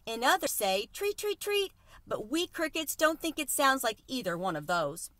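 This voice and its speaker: A woman's voice: high voice, very animated, moderate pace, a bit bright, no echo, pitched above the performer's own voice. A woman voicing a timid, polite male country cricket in a children's storybook, earnest and a little hesitant.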